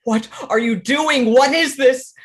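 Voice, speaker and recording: An actor performs a tormented monster in a staged drama, an outcast creation who pleads and threatens. The voice is husky and slow, halting and intense, moving between whispers and cries. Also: high-pitched. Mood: desperate, outraged.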